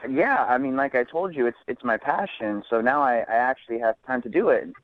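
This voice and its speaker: A man speaking casually as a phone guest on a radio show, in a halting, earnest way. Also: medium pitch, no echo. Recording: thin band-limited phone line.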